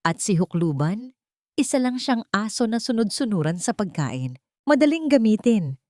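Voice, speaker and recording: A woman's voice: medium pitch, sing-song, moderate pace, darkish tone, no echo, clean studio sound, voice pushed up, natural voice. A woman voicing a cold, smug older male villain, a scheming uncle in a dramatic audio story. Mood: smug threat. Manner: smug, taunting, remorseless.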